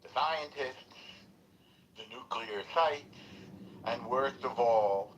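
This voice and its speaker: A man hosting a political call-in discussion, slow and rambling with frequent halting pauses. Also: medium pitch, dull audio, a little hiss or hum.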